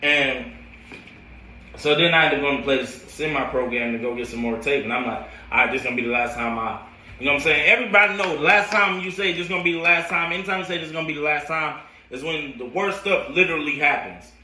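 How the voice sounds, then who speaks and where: American guy telling stories real casual and slangy, super bright punchy voice, echoey room, kinda far from mic. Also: on the high side, quick pace. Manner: slangy, animated talk peppered with "like" and "bro". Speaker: football coach telling his story. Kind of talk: casual autobiographical storytelling. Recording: roomy echo, distant from the mic.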